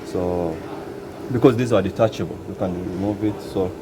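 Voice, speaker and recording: A man from Congo who grew up in Uganda, a bus mechanic answering questions in a halting way, often restarting and adding "you know". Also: deep voice, noisy background. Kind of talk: casual interview answers. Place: large vehicle workshop.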